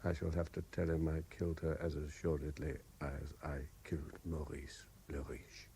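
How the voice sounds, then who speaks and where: A man's voice: extremely low pitch, level tone, moderate pace, gravelly, mellow. A British actor playing a guilt-ridden, evasive man in a radio drama, with a faltering, hesitant delivery. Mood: grim, guilt-stricken. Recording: noisy vintage 1970s radio drama recording.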